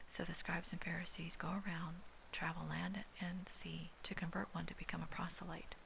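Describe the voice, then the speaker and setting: Woman from Hawaii, whispered scripture reading and commentary, slow and hushed, gritty fry, crisp bright tone. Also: on the low side, flat delivery, slight background noise, low-quality recording.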